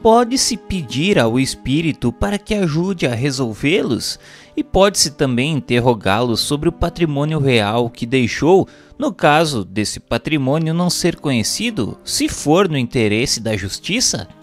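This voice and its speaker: A reverberant recording of a male narrator with faint music behind. His voice sits fairly high and moves with lively intonation as he reads formal, didactic commentary from a script. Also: unhurried. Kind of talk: scripted reading of book commentary.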